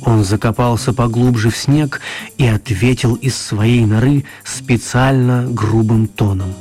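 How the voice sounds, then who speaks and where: A man narrating a children's radio play as an expressive, mock-serious storyteller. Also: mid-range voice, measured pace, soft background music.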